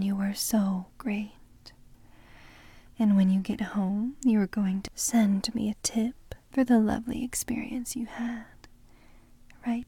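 A woman speaking in a soft, breathy whisper for an ASMR roleplay, teasing and commanding. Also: a little high, no room sound.

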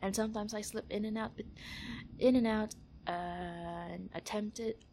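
A woman's voice: mid-range voice, fairly even intonation, unhurried, dark tone. Filipino-accented woman, casual, with background noise. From Philippines. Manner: playful, informal, self-aware. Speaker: amateur audio recorder doing an accent challenge. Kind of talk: casual chat, reading questions and word lists aloud.

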